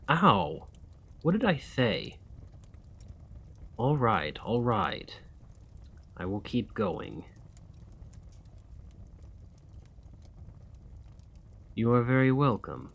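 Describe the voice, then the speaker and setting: A man playing a weary, courtly, centuries-old vampire and former soldier in an ASMR roleplay, speaking in formal, old-fashioned, measured diction. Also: on the low side, a bit bright, husky, exaggerated voice. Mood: wry, insistent.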